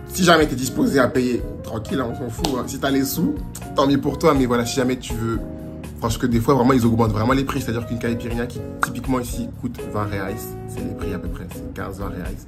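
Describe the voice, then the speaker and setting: A man's voice: medium pitch, fast talker. Chatty young Frenchman with music underneath. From France. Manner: chatty and animated, slips into Portuguese phrases. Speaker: vlogger. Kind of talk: casual advice vlog.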